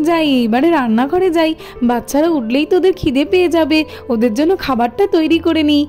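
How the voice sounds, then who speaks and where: A woman's voice: high voice, fast, dark tone, faint music behind, close to an everyday voice. A woman voicing a caring mother who chats to herself while tidying, in a cartoon story. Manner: chatty, talks to herself. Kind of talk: cartoon voice acting.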